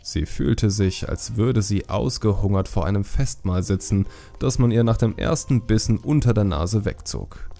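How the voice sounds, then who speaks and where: A man narrating a fantasy audiobook in a measured, expressive storytelling manner. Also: lowish voice, creaky, faint music behind.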